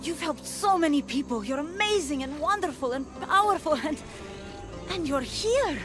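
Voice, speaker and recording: An anxious, starstruck young woman in a video game who gushes and pleads breathlessly. Her voice sits very high and leaps about, with soft music and chirping insects behind. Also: expressive, normal speed. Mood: gushing.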